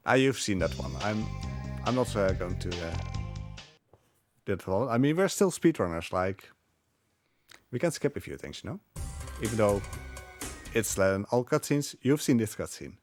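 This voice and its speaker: Low-voiced man rambling over game music. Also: sing-song. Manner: hesitant, rambling, halting. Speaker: speedrunner commentating on own run. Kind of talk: casual live game commentary.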